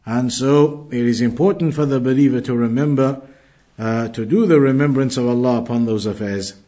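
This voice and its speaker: A man teaching an Islamic lesson, explaining in a deliberate way and repeating his points. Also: medium pitch, warm tone. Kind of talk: religious lesson, reading Arabic then explaining.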